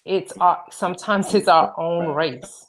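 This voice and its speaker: Woman, 40s, from Georgia, deep gravelly voice, slow hesitant panelist. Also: poor audio. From Georgia, USA. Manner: hesitant, many ums and restarts. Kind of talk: casual panel discussion.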